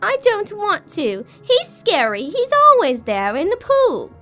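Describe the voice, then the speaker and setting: Spoiled, fearful little English girl, piping high voice, animated, horror drama. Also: unhurried, faint music behind, exaggerated voice. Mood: sulky, scared. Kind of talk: audio horror drama acting.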